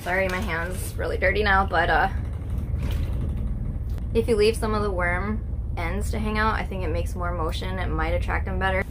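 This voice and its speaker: A woman hosting a casual vlog, chatting and exclaiming excitably into a handheld camera inside an ice fishing tent. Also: on the low side, normal speed, background noise. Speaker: vlog host. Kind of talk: casual excited chat while filming. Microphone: handheld camera or phone.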